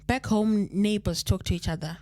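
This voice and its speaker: A woman's voice: medium pitch, normal speed. A Zimbabwean woman co-hosting a podcast, chatting casually and reading a listener letter, slipping into Shona now and then. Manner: relaxed, repeats phrases, mixes Shona into English. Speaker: podcast co-host.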